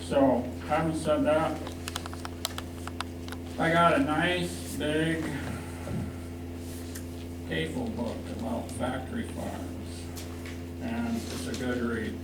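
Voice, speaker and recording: Echoey, higher-pitched American man addressing a council. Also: steady tone, takes it slowly, long pauses. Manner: plain-spoken, emphatic, builds argument in short clipped phrases. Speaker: farmer speaking at public comment. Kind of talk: impassioned public comment to a town council. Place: indoor council meeting room. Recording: echoey meeting room, distant mic, noisy hum.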